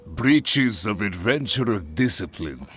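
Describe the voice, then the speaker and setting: Pompous guild chief: slow, hugely animated, formal game delivery over faint ambience. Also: male voice, fairly low pitch, slight background noise, exaggerated voice. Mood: stern. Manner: theatrical, formal. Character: pompous, stern adventurers' guild leader. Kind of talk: anime game voice acting.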